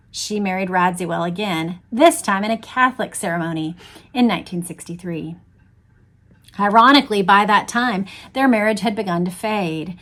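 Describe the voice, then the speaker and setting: A woman hosting an expressive book read-aloud, with engaged casual asides addressed straight to her listeners. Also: medium pitch. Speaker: host reading a book aloud with commentary.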